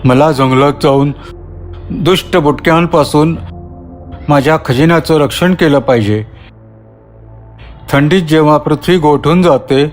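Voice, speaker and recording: A man voicing a friendly, earnest talking bear in a children's cartoon story, speaking in a measured, reassuring way. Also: a little high, lightly acted.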